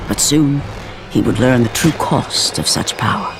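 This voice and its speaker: Slow, grave, portentous epic narrator. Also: female voice, a bass voice, expressive, noisy background, full character voice. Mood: grave storytelling. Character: grave, solemn narrator of an epic Greek tragedy. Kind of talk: dramatic scripted video game narration. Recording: music behind the voice.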